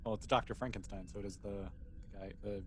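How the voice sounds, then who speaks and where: A man's voice: on the low side, fairly even intonation, quick pace, slight background noise. A man running a tabletop role-playing game as game master in a casual, conversational way.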